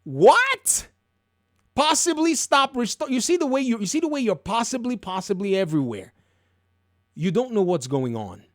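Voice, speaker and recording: High-pitched, animated man giving opinionated commentary. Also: normal speed, dry recording, clean studio sound. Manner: emphatic, repeats phrases for effect, colloquial. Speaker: video game commentary host. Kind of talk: casual opinionated commentary and storytelling.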